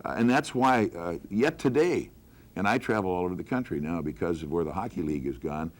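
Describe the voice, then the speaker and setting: A man's voice: low voice, expressive, a little fast. An older American man, a veteran broadcaster, reminiscing in a group conversation. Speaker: veteran radio announcer and hockey broadcaster.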